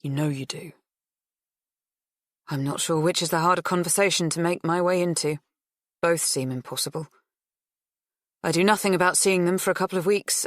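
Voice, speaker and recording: Female narrator for first-person fiction audiobook. Low register, very dry recording. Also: dry recording.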